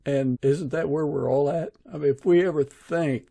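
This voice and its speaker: A man's voice: a little high, fairly even intonation, normal speed, gravelly, dark tone. A man hosting a podcast interview, speaking in a reflective, affirming and conversational way. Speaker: podcast host.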